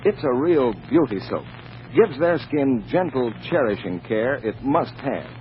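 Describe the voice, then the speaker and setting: A polished male announcer sells in 1940s radio style. His pitch moves widely and persuasively. The old recording is muffled with some noise. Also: very animated, a little slow. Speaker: radio announcer reading sponsor copy.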